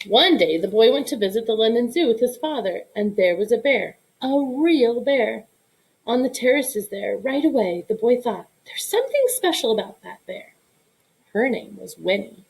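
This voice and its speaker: A woman reading a children's picture book aloud in an expressive storytelling style. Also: a little high, normal speed, vocal fry, poor audio. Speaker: storybook reader.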